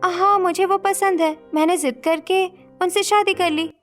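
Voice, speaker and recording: A woman's voice: very high voice, fairly even intonation, measured pace, a bit bright, soft background music. A woman voicing a stubborn, emotional young schoolgirl in a cartoon story, earnest and pleading. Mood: eager, insistent. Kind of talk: Hindi cartoon story dialogue acting.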